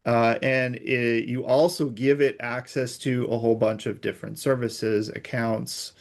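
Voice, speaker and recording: A man's voice: medium pitch, level tone, measured pace, gravelly. Informal man explaining technical things. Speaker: interview guest, AI security expert.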